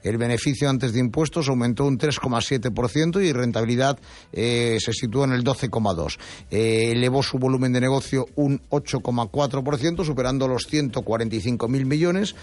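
A man's voice: mid-range voice, fairly quick, darkish tone. A man hosting a radio show, chatting and reading news with many fillers and restarts. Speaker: radio host. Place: radio studio.

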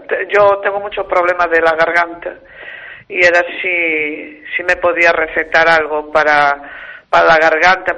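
A woman caller leaving a voice message for a radio show, halting and repeating words. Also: on the low side, bright, forceful tone. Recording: dull lo-fi voice note.

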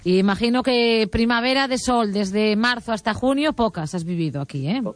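A woman's voice: fairly high pitch, lively intonation, moderate pace. A woman hosting a radio show, chatting casually and encouragingly with a caller. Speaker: radio host taking listener calls.

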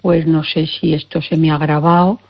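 A woman's voice: low voice, flat delivery, moderate pace, gravelly, dull audio. An older woman with a persistent cough, hesitantly explaining her symptoms and often searching for words. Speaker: caller seeking health advice. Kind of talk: casual consultation.

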